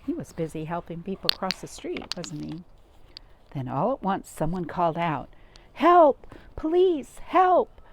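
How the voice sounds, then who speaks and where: Animated woman reading to young children. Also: on the low side, moderate pace, noisy background. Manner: expressive, with dramatic gasps and questions to listeners. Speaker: storytime reader. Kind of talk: animated picture-book read-aloud for young children.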